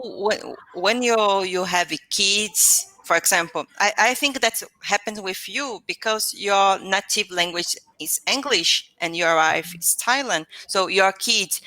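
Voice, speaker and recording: Slow halting Brazilian woman learner. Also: mid-range voice, sharp tone, no room sound, poor audio. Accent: Brazilian Portuguese. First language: Portuguese. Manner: halting, searches for words, many restarts. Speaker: English learner. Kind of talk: casual conversation practice.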